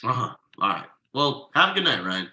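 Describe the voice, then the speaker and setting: A man's voice: mid-range voice, very animated, brisk, pushed and bright. A man reading the part of a forbearing, drily sarcastic husband and father in a conversational comedy table read, with roomy echo. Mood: patient, wry. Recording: roomy, echoey online video call.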